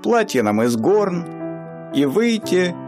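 A man narrating a radio play in a slow, wry storytelling manner. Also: very animated, music in the background. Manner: slow, wry storyteller with wide swings in pitch.

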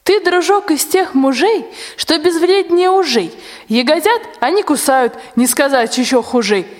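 A woman playing a blunt, sharp-tongued nanny who mocks her master in rhymed radio drama. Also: sits high, expressive, a little slow. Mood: mocking. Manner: mocking, blunt, folksy. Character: sharp-tongued, scolding servant nanny of the tsar. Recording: long hall reverb.

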